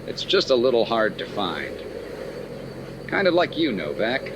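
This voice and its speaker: A man acting a courteous insurance investigator with a very animated, swinging delivery. He is businesslike with a wry, teasing edge, in the manner of an old radio detective serial. Clear noise sits behind the voice. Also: medium pitch, measured pace. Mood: curious, teasing.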